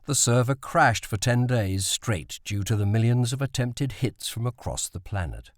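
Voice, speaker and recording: Expressive man narrating a novel. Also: medium pitch, normal speed, dry recording, clean studio sound. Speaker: audiobook narrator.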